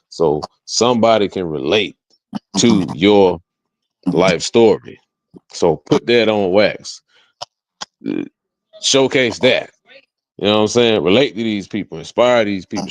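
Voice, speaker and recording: Man, low voice, emphatic slangy delivery, repetitive phrasing, casual motivational talk, dry close sound. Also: dry recording. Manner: emphatic, repetitive, slangy, peppered with tag questions. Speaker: guest, independent music artist.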